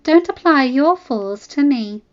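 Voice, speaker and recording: A woman's voice: high voice, expressive, measured pace, darkish tone, muffled recording, voice pushed up. A woman voicing a proud, defiant young noblewoman who answers her rival with cold, cutting retorts.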